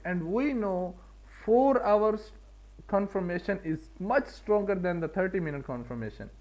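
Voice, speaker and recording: Casting: male live-stream trading host, Pakistani accent, voice a bit on the high side, casual and repetitive for emphasis. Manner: repeats and rephrases points for emphasis.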